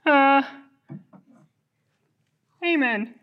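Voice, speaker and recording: A woman voicing an earnest young boy puppet in a children's church show, halting and innocent. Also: high-pitched, muffled recording. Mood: solemn, grandiose. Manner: halting, pompous when praying, otherwise plain childlike talk. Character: earnest young boy puppet, worried about his sister.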